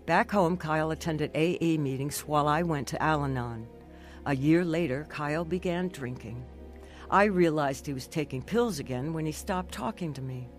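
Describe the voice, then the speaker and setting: An actress playing an earnest older woman narrating her life story in a measured radio drama style. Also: low voice, soft background music, close to an everyday voice. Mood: earnest, reflective.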